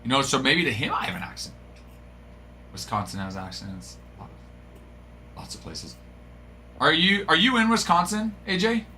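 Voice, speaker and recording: A middle-aged man hosts a live card-break stream, rambling casually and answering his viewers. His voice is bright and a touch high, with a faint hum in the background. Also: animated, normal speed, long pauses. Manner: rambling, chatty, talking to chat viewers.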